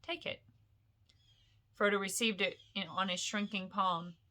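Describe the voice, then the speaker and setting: A woman reading a fantasy novel aloud as an expressive storyteller, with deliberate, dramatic phrasing. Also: mid-range voice.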